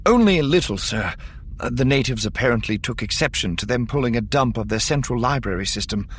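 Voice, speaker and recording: A man plays a young junior officer in a space-opera audiobook. The voice is pitched up, hesitant and anxiously deferential to superiors. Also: lively intonation, a little fast, a little hiss or hum, close to an everyday voice. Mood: hesitant reporting. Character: nervous young male lieutenant, deferential junior bridge officer.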